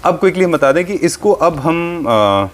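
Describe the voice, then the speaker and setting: A man's voice: fairly high pitch, expressive, unhurried. A man with an Indian accent hosting a conversational showcase, explaining to viewers and mixing Hindi with English as he addresses them directly. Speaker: host of a furniture showcase show.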